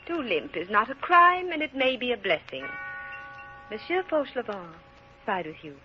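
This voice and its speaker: A grave and commanding head nun with a slightly high voice. She speaks in formal, pious pronouncements. The sound is a muffled old radio drama. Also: big pitch swings, normal speed, slight background noise. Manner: formal, stately, pious, authoritative. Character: solemn, commanding Reverend Mother of a convent. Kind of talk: 1930s-40s radio drama acting. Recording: muffled vintage radio broadcast recording.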